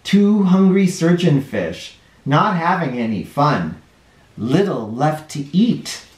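A man in his forties reading a children's picture book aloud at home, expressive, dropping his voice low on each count. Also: takes it slowly, reverberant. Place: living room with couch and toys, indoors.

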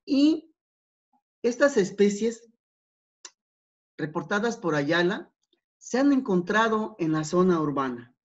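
A man giving an academic talk over slides, unhurried, with many fillers and repeated words. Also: high voice, sing-song. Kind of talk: conference presentation over slides. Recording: dull, lo-fi online talk.

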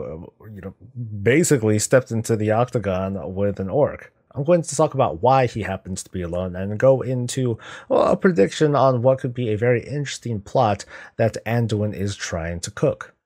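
A man's voice: mid-range voice, animated, normal speed, warm tone. A middle-aged man giving casual, musing reaction commentary as a video host, talking conversationally. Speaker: YouTube host reacting to game cinematics.

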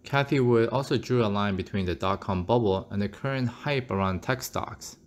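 A man with a fairly low voice narrates a scripted finance commentary. His delivery is steady and explanatory, pausing at times to put direct questions to the viewer. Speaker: finance channel host. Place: voice-over over screen charts.

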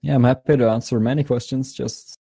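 A man's voice: mid-range voice, steady tone, a little fast, vocal fry, warm tone, husky, no room sound. A man in his twenties from Norway, a guest answering interview questions eagerly, often repeating words and laughing. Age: 20s. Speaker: guest YouTuber. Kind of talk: casual interview.

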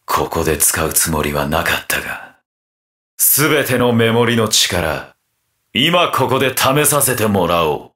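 An actor playing a ruthless, mocking undead armored mercenary villain in a video game, with a taunting, theatrical delivery. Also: male voice, on the low side, sing-song, measured pace, bright, forceful tone, full character voice. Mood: menacing. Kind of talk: video game battle voice acting.

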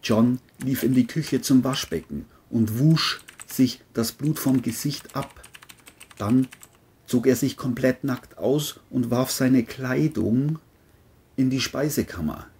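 A woman's voice: a bass voice, slow, warm tone. A woman narrating a scripted crime story.

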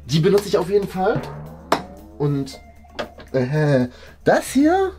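A young man playing a bossy, self-important older-brother boy in a kids' comedy skit, with a hesitant, drawn-out delivery. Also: high voice, huge pitch swings, warm tone, heavily acted. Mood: scheming. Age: young adult. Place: furnished room indoors. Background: background music.

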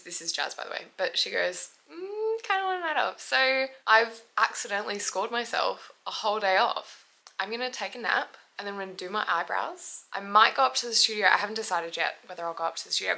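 A woman's voice: mid-range voice, gravelly, bright, forceful tone. A woman in her twenties with an Australian accent, chatting casually and informally to camera in a rambling home vlog. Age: 20s. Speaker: vlogger, fitness trainer. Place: indoors at home, kitchen and other rooms. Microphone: camera mic, from arm's length to a few steps away. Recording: echoey room with faint noise and clicks.

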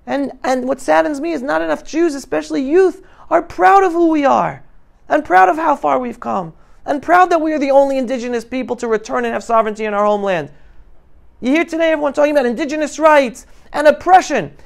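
A middle-aged man giving an impassioned, animated motivational talk to young people, with emphatic delivery. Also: extremely high pitch, brisk, gravelly. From New York City, United States. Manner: emphatic, animated, swinging between loud and hushed. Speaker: guest speaker addressing high school students. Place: classroom, indoors. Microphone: lapel mic.

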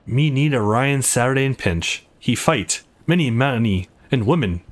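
Man putting on a simple, blunt male game brawler, clipped broken English, middle pitch. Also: expressive, normal speed, lightly acted.

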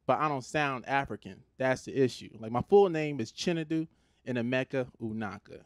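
A man from Los Angeles in his thirties with an American accent, performing a stand-up comedy set on a theater stage into a handheld mic, animated and conversational. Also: mid-range voice, moderate pace, slight background noise. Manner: animated, conversational, switches into put-on Nigerian voices for his parents.

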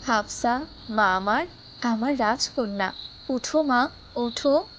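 A high-pitched young-sounding mother, acted by a woman, full of tenderness. She speaks in a coaxing, affectionate cartoon manner. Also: takes it slowly, a bit bright, muffled recording, slight background noise. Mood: tender. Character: tender, doting mother of a little girl. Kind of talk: moral cartoon voice acting.

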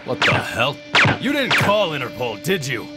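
A man's voice: medium pitch, huge pitch swings, normal speed. A man playing an outraged, idealistic young apprentice, indignant and accusing, in a comic-book drama. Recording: loud music under the voice.

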